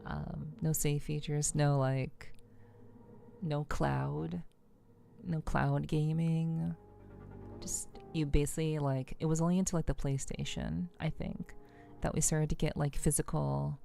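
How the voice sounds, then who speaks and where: A woman with a low, mellow voice and plenty of vocal fry hosts a livestream. She chats casually and softly with her viewers, relaxed and friendly. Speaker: livestream host.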